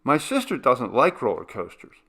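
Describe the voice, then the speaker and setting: A man voicing a confident, impatient young woman in a story, brisk and dismissive. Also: medium pitch, huge pitch swings, creaky, a bit bright, exaggerated voice.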